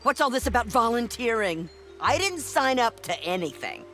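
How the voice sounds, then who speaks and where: A man's voice: very high voice, big pitch swings, normal speed, pushed and bright, soft background music, exaggerated voice. A man playing a grumpy, easily alarmed sidekick in a video game. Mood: alarmed, grumbling. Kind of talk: video game cutscene acting.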